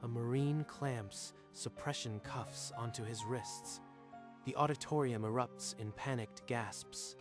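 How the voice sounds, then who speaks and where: A man's voice: mid-range voice, slow pace. A man giving scripted, dramatic narration for a manga dub. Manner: dramatic storytelling. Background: faint music.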